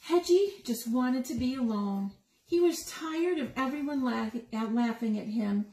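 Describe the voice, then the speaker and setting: Female story time reader, high and animated, unhurried, engaging small children; echoey room. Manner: asks the young listeners questions, exclaims over pictures. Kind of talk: animated picture book read-aloud for young children. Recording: roomy echo with noticeable background noise.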